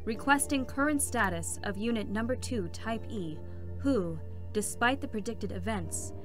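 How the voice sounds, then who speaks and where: Detached, procedural robot over music. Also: female voice, on the high side, lively intonation, unhurried. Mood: impassive, insistent. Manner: formal, clipped, detached. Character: emotionless, procedural female-voiced robotic support unit. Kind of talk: dramatic concert reading of a video game.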